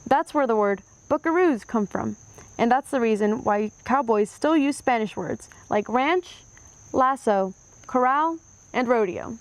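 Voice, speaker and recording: A woman narrating a children's history book aloud with clear, expressive storytelling. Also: a little high, unhurried, no room sound.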